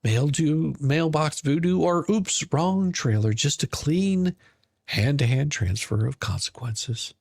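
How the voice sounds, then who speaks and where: A man narrating a scripted story in a dramatic, mocking manner, with deliberate theatrical pauses. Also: fairly high pitch, vocal fry, darkish tone, slightly rough, no echo, clean studio sound. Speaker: podcast narrator.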